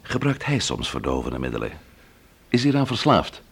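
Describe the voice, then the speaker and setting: An actor playing a composed, probing police investigator in a Dutch radio drama, speaking in a measured, questioning way. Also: male voice, low-pitched, wildly swooping pitch, gravelly, breathy edge, exaggerated voice. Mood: probing, intrigued. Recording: radio play with faint background noise.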